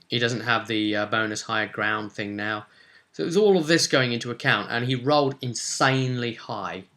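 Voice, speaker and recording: A man's voice: medium pitch, sharp tone. A man, casually recounting a tabletop roleplay session as game master in a rambling, colloquial way.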